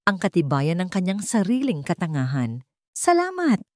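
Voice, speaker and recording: A woman's voice: low voice, expressive, normal speed, a little mellow, dry recording, studio quality. A woman reading scripted narration for a dramatic story in the manner of a storyteller.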